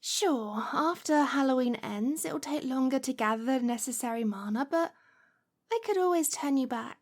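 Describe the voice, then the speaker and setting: Woman acting a high-voiced, lovesick yandere witch. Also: lively intonation, moderate pace. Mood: playful, smug. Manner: breathy whispers mixed with giggles, sighs and cooing. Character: lovesick possessive yandere witch, sweetly menacing, coaxing. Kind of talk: ASMR roleplay audio drama.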